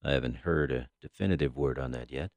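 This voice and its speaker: An actress playing a stern, businesslike FBI agent in an audio drama, questioning in a formal, clipped manner. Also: female voice, extremely low pitch, fast, darkish tone, dry recording. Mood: grave, firm.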